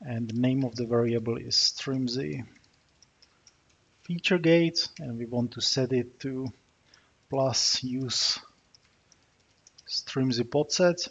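A Czech man talks through a terminal demo at a mid pitch, stopping often with small fillers as he types commands. The recording is a bit dull and muffled, with keyboard clicks under his voice. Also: vocal fry, fairly bright tone, husky. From Czech Republic. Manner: narrates while typing, frequent uh fillers. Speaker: software developer demoing a tool. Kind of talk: screencast tutorial walkthrough.

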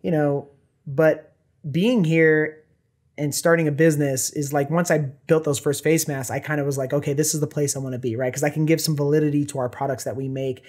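Chatty man with a fairly high voice. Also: brisk. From Southern California. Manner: animated, gestures a lot, many fillers like "you know" and "right". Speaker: company founder, guest. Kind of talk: casual interview talk. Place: small podcast studio with bookshelves. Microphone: desk microphone on boom arm.

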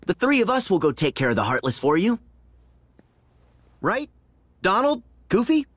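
A man's voice: high voice, wildly swooping pitch, moderate pace, a bit bright, dry recording, exaggerated voice. A man playing an eager, cheerful teenage boy hero in a video game. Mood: confident, reassuring. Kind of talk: video game cutscene acting.

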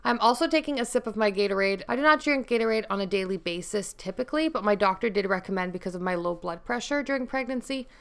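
A woman's voice: mid-range voice, fast, vocal fry, few pauses. A woman in her thirties giving a chatty, upbeat voice-over for a home cleaning vlog. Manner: chatty, upbeat, explains each step. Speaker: vlogger.

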